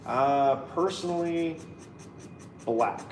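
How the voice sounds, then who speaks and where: A man's voice: medium pitch, extremely slow, reverberant, far from the mic, a little hiss or hum, poor audio. A man in his thirties chatting casually and playfully on a live stream, reading viewer comments aloud. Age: 30s. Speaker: co-host reading live chat.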